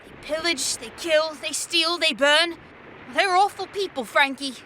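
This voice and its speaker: A woman's voice: sits high, measured pace, sharp tone. A woman playing a sensible, argumentative nine-year-old boy, an exasperated older brother, in an audio drama. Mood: earnest, warning. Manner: sighing, argumentative. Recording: faint background ambience noise.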